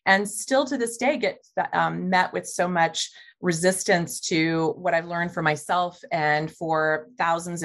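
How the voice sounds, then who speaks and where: A middle-aged woman, an oncologist, answering interview questions in a lively, fast-talking conversational way. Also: lowish voice. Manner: warm-hearted, quick and animated, rushing through phrases. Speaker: guest, integrative oncologist.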